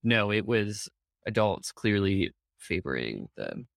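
A man's voice: on the low side, sing-song, slow pace, gravelly, a bit bright, no room sound. A young man co-hosting a casual TV recap, talking playfully and often stopping to correct himself. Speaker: podcast co-host. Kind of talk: casual chat recapping a TV show.

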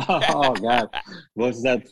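A middle-aged man, a musician whose first language is not English, telling stories in a casual interview, lively and quick to act out what people said. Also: medium pitch. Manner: animated storyteller, acts out quoted voices, laughs often. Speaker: guest musician, keyboard player.

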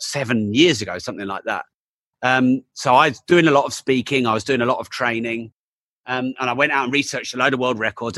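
Bright man, upbeat guest. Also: medium pitch, dry recording. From England. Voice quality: voice still not fully recovered. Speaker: podcast guest, entrepreneur. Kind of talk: casual interview chat.

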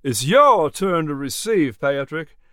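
A man's voice: fairly high pitch, big pitch swings, takes it slowly, a bit bright, no echo, clean studio sound, exaggerated voice. A man playing a genial, teasing older horse owner with a folksy, emphatic way of talking in an audiobook story. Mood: warm, insistent. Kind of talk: audiobook character dialogue.

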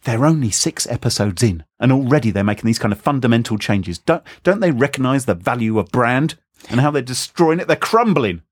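A man's voice: medium pitch, expressive, fairly quick, slightly rough, dry recording. Man hosting a chatty podcast, bouncy intonation, dry close mic, wry and rambling. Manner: jokey, rambling, self-mocking. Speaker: podcast host. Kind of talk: casual podcast chat.

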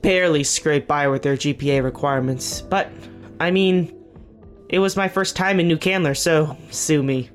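An actress playing a middle-aged government official in an audio drama, speaking candidly with wry, hesitant phrasing. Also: female voice, low voice, normal speed, close to an everyday voice. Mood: earnest, candid. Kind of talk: naturalistic audio drama dialogue. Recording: music underneath. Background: background music.

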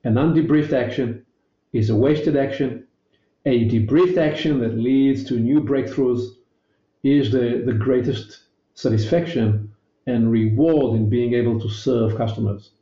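Israeli man, dark echoey voice. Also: medium pitch, measured pace, highs cut off. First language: Hebrew. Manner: earnest, emphatic, repeats words. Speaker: guest. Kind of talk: interview answers.

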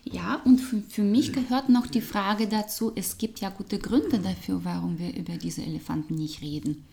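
A woman from Russia with a Russian accent, a business coach talking as a podcast guest, thoughtful and soft-spoken, sometimes dropping to near-whispers. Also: medium pitch, moderate pace, gravelly. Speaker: business trainer, change consultant and coach.

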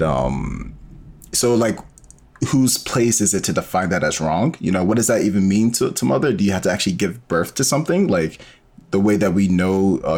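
Very deep-voiced man, casual interview guest. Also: darkish tone. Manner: informal, thinks aloud in loose rambling explanations. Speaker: interview guest, sci-fi audio storyteller.

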